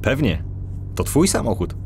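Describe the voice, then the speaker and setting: An actor playing a helpful, proud but cautious animal inventor in a children's audio drama. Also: male voice, medium pitch, very animated, measured pace, a little mellow, slight background noise. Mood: calm, proud.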